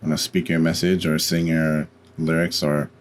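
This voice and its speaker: Very low-voiced man giving a tutorial. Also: little pitch movement, moderate pace. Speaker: tutorial host. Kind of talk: step-by-step screen-recorded software tutorial.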